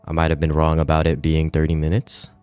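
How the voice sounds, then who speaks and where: A man's voice: rumbling low, completely flat delivery, fairly quick, a little mellow, smooth. A man livestreaming in an informal, slangy, slightly hesitant way, chatting to viewers and reading game text aloud. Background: music.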